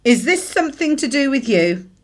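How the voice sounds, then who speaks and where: A woman's voice: high voice, big pitch swings, normal speed. An actress playing a tired, indignant British wife and mother in a radio drama. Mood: questioning. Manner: indignant, emphatic. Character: tired, exasperated British wife and mother, stands her ground.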